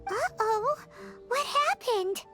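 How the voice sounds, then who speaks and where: A woman voicing a tiny, childlike, curious fairy companion with a squeaky voice in scripted video game dialogue. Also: expressive, takes it slowly, heavily acted. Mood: alarmed. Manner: squeaky, refers to self in third person. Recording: music clearly behind the voice.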